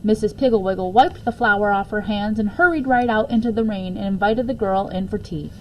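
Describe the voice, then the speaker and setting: A woman reading a children's book aloud as an expressive storyteller. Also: mid-range voice, fairly quick, a little hiss or hum, poor audio. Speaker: story reader.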